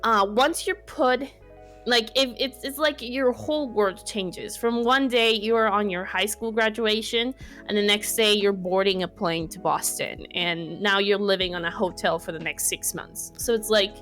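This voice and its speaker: A woman in her twenties from Mexico with a Mexican Spanish accent, a podcast guest speaking casually in English, her second language, pausing and restarting to find her words. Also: medium pitch, a bit bright, faint music behind. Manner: thoughtful, searching for words, many restarts. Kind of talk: casual conversation.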